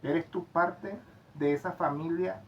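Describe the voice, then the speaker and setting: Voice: mid-range voice, fairly even intonation, slow, lo-fi sound, slight background noise. A speaker from Venezuela, co-hosting enthusiastically with frequent fillers and restarts. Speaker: co-host of travel channel. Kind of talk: casual conversational commentary.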